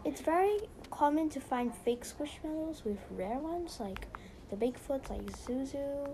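A woman's voice: high-pitched, expressive, unhurried, noisy background. A young woman hosting a casual podcast, chatty and rambling with lots of "so yeah". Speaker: podcast host.

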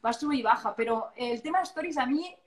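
A woman's voice: high voice, lively intonation, fairly quick. A woman with an accent from Spain chatting casually as a guest, playful and digressive, often interrupting herself. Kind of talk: casual chat. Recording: echoey room sound.

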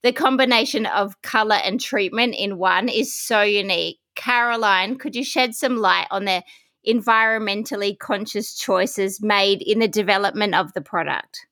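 A woman's voice: mid-range voice, moderate pace, bright, forceful tone. A woman hosting a podcast interview with prepared questions and introductions. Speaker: podcast host.

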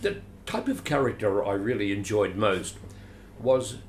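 A man in his late seventies with an Australian accent, telling stories about his acting career in an animated, theatrical, chuckling way, recorded at home. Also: lowish voice, a little slow. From Adelaide, Australia. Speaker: guest, veteran actor. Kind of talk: storytelling interview. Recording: roomy echo, faint hiss.

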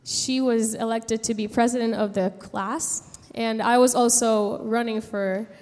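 A young woman from San Juan tells a personal story to a gathering, haltingly, pausing mid-sentence. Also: on the high side, steady tone, a little mellow. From San Juan, Puerto Rico. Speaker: speaker giving a personal testimony. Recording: long hall reverb.

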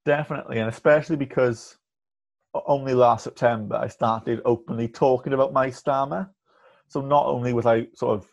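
A young man talks openly in an interview, his stammer showing as blocks and repeated first sounds. His voice sits in an ordinary middle pitch. The recording is muffled and lo-fi. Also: creaky, darkish tone. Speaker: podcast guest. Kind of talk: candid conversational interview. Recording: muffled lo-fi audio.

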